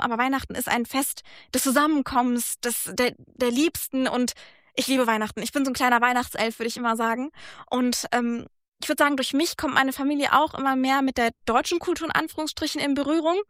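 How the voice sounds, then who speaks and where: Woman from Germany, high voice, dry close sound, earnest, reflective. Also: steady tone, quick pace, a bit bright, dry recording. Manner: earnest, reflective, speaks in long thoughtful runs. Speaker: guest. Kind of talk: casual conversation.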